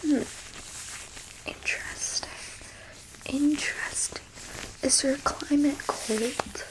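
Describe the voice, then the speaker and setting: A woman playing a coolly clinical, smug and curious alien abductor in an ASMR roleplay, in a soft breathy whisper with a coyly condescending manner. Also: a little high, rough recording. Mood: curious, probing.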